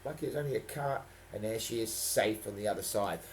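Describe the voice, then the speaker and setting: A man's voice: lowish voice, fairly quick, slight background noise. A man reading a storybook dramatically and breaking off for chatty, playful asides.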